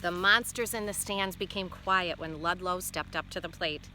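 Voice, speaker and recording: Female primary teacher, bright crisp-edged delivery, expressive storybook read-aloud with explanatory asides, slight background noise. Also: lowish voice, normal speed. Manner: instructive, checking in with questions. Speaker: teacher reading a picture book aloud.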